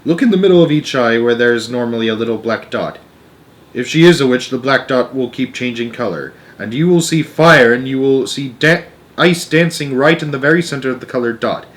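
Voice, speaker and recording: A man's voice: mid-range voice, a little fast, lightly acted. A man voicing a grave, knowing old grandmother in a storybook, explaining grim lore emphatically and matter-of-factly. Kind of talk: storybook read-aloud character.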